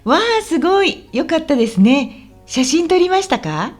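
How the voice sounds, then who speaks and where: A woman acts out a friendly, helpful local guide in a radio drama for language learners. Her voice is high and very animated, with polite, clearly spoken explanations. Faint music plays underneath. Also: moderate pace. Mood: welcoming. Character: friendly, helpful woman guiding a visitor around Osaka.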